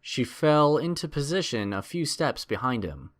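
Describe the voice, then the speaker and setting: A male narrator reads an adventure story aloud in a dramatic, scripted style. His voice sits at a medium pitch and moves up and down with lively intonation. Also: normal speed.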